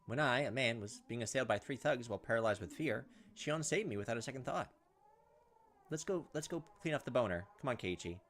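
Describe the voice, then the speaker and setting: A man's voice: medium pitch, lively intonation, brisk, a bit bright, a little hiss or hum. A man hosting a gameplay video, reading a visual novel aloud with theatrical, punchy delivery and joking commentary. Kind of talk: dramatic read-aloud narration mixed with joking commentary. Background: game music.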